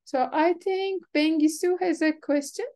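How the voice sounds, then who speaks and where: A woman whose first language is Turkish, hosting a webinar and chatting quickly with many fillers over a video call. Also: very high voice, dark tone. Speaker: webinar organizer. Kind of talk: casual webinar chat.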